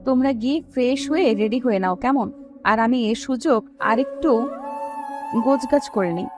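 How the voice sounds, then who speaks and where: A woman playing an emotional, playful, lovesick young woman in an audio story, with a girlish, expressive manner. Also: on the high side, normal speed, natural voice. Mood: playful, breezy. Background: prominent music.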